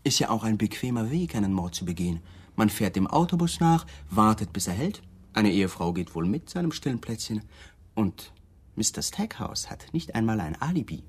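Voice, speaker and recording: A man's voice: on the low side, sing-song, normal speed, husky. An actor playing an insistent police lieutenant in a radio crime drama, polite but needling and insinuating with his questions. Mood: probing, insinuating.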